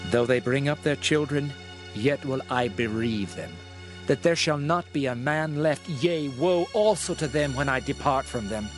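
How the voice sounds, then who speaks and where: A man's voice: medium pitch, moderate pace, music in the background, lightly acted. A man playing a stern prophet who speaks for an angry God, declaiming scripture solemnly in a dramatized reading. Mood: grave, condemning.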